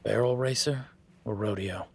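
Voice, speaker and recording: A man's voice: on the low side, moderate pace, creaky, slightly rough. A man playing a rugged, easygoing cowboy rancher who teasingly sizes up a new ranch hand, speaking straight to the listener in a laid-back way. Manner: teasing, laid-back, talks softly to his horse. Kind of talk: first-person audio roleplay aimed at the listener.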